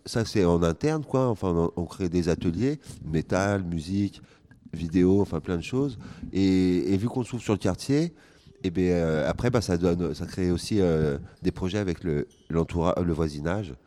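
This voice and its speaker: A man's voice: sits low, moderate pace, mellow, a little hiss or hum. A man living in a squat, answering questions enthusiastically, stumbling over repeated words. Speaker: interviewee, squat resident. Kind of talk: casual interview answer.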